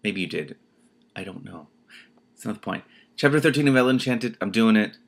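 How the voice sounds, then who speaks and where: Expressive low-voiced man reading aloud. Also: brisk, vocal fry, slightly rough. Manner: expressive, exclamatory, breaks off to comment. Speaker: reader and commentator, host of a read-aloud series. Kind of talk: reading a novel aloud with spontaneous reactions.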